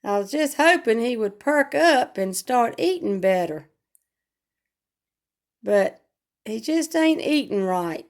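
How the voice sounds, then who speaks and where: Brief: woman vlogger, hoarse from a cold, high voice, unhurried homespun rambling. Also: lively intonation. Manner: slow, homespun, rambling talk with regional grammar. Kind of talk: casual home vlog chat. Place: at home.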